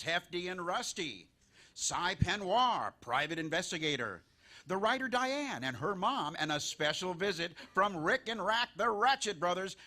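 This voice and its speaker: A man's voice: high-pitched, sharp tone. A man announcing a scripted live radio-style variety show as its host.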